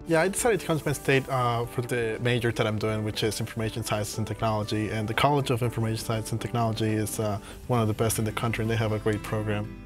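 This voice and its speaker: A man's voice: fairly low pitch, steady tone, music in the background. A sociable young man from Pennsylvania, a student, answering interview questions. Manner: sociable, quick.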